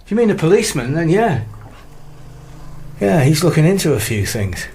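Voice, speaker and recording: An actor playing a bewildered, impatient young British husband in a naturalistic radio drama, blunt and questioning. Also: male voice, on the high side, big pitch swings, moderate pace, a little mellow. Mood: confrontational. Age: young adult.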